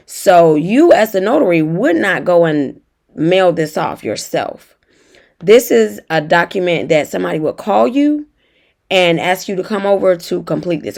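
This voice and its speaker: Raspy, hoarse woman. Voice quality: raspy, deeper than usual. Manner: chatty, talks straight to viewers. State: hoarse from feeling unwell. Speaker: notary tutorial host. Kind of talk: casual tutorial walkthrough.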